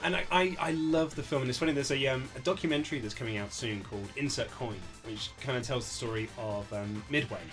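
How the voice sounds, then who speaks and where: A man's voice: medium pitch, fast talker, hardly any pauses. A man chatting casually and emphatically as an author being interviewed, in an informal way. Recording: lo-fi amateur interview setup, music underneath.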